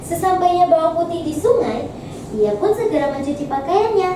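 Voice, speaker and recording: High, animated young female storyteller, echoey. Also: moderate pace. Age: teens. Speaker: student storyteller in a competition. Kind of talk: expressive folktale storytelling. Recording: very echoey, distant mic, noisy.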